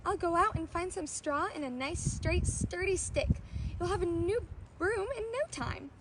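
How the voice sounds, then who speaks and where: A woman voicing a sweet, gentle, eager young princess in an earnest storybook reading. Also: extremely high pitch, moderate pace, noisy background. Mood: cheerful, eager.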